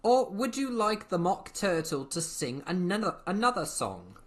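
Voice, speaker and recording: A woman voicing a gruff, impatient, bossy mythical beast in a storybook reading. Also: lowish voice, very animated, moderate pace. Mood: offended, brisk. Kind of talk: dramatized children's storybook reading.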